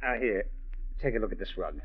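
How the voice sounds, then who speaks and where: An American actor playing a breezy, slangy, flirtatious crook in a 1940s radio crime drama. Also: male voice, on the low side, animated, fairly quick, slightly rough. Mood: smug, explaining. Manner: slangy, chuckling, familiar. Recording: tinny narrow-band vintage radio recording.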